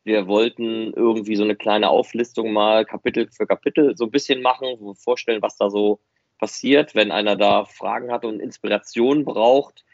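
Male podcast host, deep-leaning voice, casual conversational delivery, muffled lo-fi sound. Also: steady tone, moderate pace, dry recording. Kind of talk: casual unscripted podcast chat. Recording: muffled, dry, band-limited audio.

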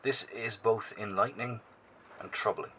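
A deep-voiced, grave bunker professor. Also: male voice, sharp tone, slight background noise, poor audio. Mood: earnest, troubled. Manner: measured, formal, grave. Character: earnest professor broadcasting to apocalypse survivors from a bunker. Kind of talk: horror audio drama monologue.

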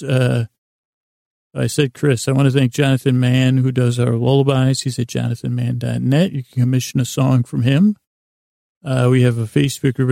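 A man hosting a bedtime podcast in a meandering, halting monologue, self-deprecating and silly, repeating and playing with words. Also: mid-range voice, creaky, warm tone, dry recording, clean studio sound. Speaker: podcast host.

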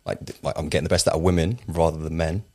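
A man from Tenerife working as a personal trainer, answering interview questions in a relaxed, rambling way. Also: extremely low pitch, fast talker. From Tenerife, Spain. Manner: relaxed, rambling, with fillers and restarts.

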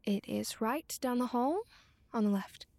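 An actress playing a formal, polite caretaker at a care home. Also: female voice, fairly high pitch, measured pace, no echo. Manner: formal, polite, drops to a hush.

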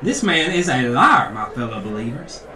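Pompous preacher, wildly animated delivery. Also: male voice, medium pitch, normal speed. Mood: indignant, self-righteous. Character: pompous, indignant, crooked male church leader. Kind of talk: comedic audio drama sermon. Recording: echoey room, distant, crowd behind.

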